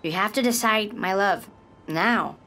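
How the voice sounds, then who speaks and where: A woman playing the gentle, motherly good side of a young man's mind, who speaks to him with affection and soothing words. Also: medium pitch, a little slow. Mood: urging. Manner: soothing, affectionate, calls others darling.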